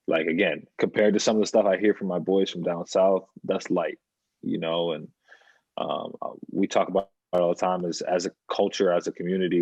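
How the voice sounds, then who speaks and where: A young man from New York, a professional baseball player, talking calmly and reflectively as a podcast guest. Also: sits low, husky, no room sound, dull audio. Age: young adult. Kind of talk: podcast conversation.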